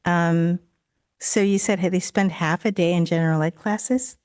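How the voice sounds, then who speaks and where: A woman raised in Daly City whose first language was not English, speaking as a school board trustee at a meeting, with a halting delivery full of fillers and restarts. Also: lowish voice, steady tone, a little mellow, clear, resonant tone. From Daly City, California. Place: district office board room.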